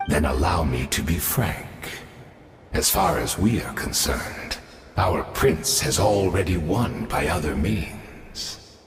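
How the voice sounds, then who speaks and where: Menacing abyss herald for a fantasy game: low, slow, pompous and condescending, drenched in echo. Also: male voice. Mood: grand, gloating. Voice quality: deep, gravelly. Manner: theatrical, pompous, condescending, drawn-out. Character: grandiose, menacing male Abyss herald villain. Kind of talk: fantasy video game voice acting. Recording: echoey, long reverb, music behind.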